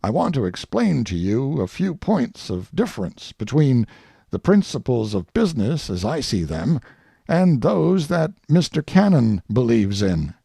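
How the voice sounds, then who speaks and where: A man reading as a wry, self-satisfied humorist giving an after-dinner speech, droll and deliberate, with oratorical pauses and a gravelly voice. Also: mid-range voice, very animated, warm tone, dry recording, studio quality, full character voice. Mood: wry, mock-modest.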